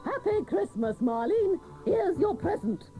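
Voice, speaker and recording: A woman's voice: extremely high pitch, very animated, measured pace, heavily acted, poor audio. A woman playing a fussy, wailing aunt with exaggerated sing-song delivery in a comic radio drama. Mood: fussy, theatrical. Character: fussy, wailing aunt giving Christmas presents. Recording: music under voice, old cassette radio recording.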